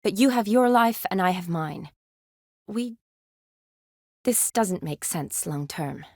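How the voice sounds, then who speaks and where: A woman's voice: mid-range voice, expressive, slow, darkish tone, dry recording, studio quality. An actress voicing a spirited, teasing young woman medic in a fantasy video game, conversational and wry. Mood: wistful.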